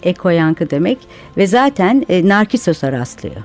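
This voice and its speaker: Low, mellow-voiced woman author. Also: very animated, moderate pace, soft background music. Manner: thoughtful, retelling myths at length. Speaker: author and radio guest. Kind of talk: conversational interview. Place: radio studio.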